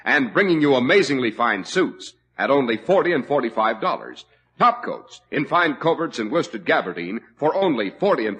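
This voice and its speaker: A man's voice: medium pitch, fairly bright tone, slightly rough. A male radio announcer reading a 1940s commercial in an emphatic, salesy way. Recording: old lo-fi radio broadcast.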